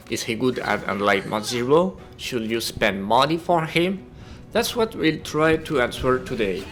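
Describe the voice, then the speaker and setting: A man presents a video game review in a scripted voice-over. His voice sits at an average pitch for a man. Soft music plays underneath as he explains things as a reviewer.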